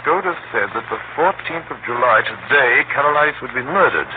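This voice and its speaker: An actor playing an earnest, urgent gentleman adventurer, polite but intense, in 1930s radio drama style. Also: medium pitch, lively intonation, fairly quick, natural voice. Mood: anxious. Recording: tinny, noisy vintage radio recording.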